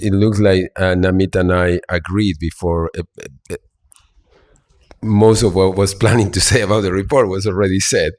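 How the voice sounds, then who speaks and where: A man's voice: low voice, darkish tone. A man from Argentina, speaking as a panelist with many fillers and restarts. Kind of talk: panel talk. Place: conference hall.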